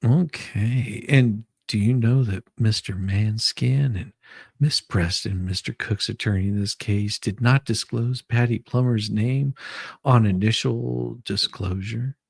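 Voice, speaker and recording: A man playing a questioning attorney in an amateur dramatic reading of a deposition, halting and repeating his words. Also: fairly low pitch, creaky, a little mellow, breathy edge, no echo, clean studio sound, exaggerated voice. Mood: questioning, patient.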